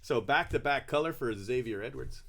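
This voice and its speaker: A man's voice: medium pitch, animated, normal speed, vocal fry, a bit bright, slight background noise. A man hosting a live trading-card break, chatting casually and calling out each card as he opens packs. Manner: chatty, calls out card names while opening packs.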